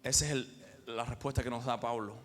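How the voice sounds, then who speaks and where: A man from Cuba, preaching a sermon as pastor with emphatic swells and pauses. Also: medium pitch, steady tone, a bit bright, slight background noise.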